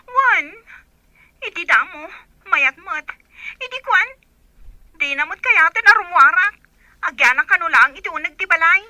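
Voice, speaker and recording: Radio drama role: troubled young woman, extremely high and bright, in a dry recording. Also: lively intonation, measured pace, pauses often, dry recording, full character voice. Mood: upset, complaining. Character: distressed young woman stranded by a foreign boyfriend. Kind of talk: Ilocano radio drama acting.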